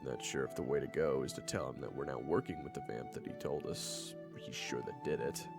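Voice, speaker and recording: A man's voice: a bass voice, a little fast, vocal fry, over music. A man playing a grumpy, jaded vampire hunter in an audio roleplay, dry, curt and sardonic. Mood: wary, stern.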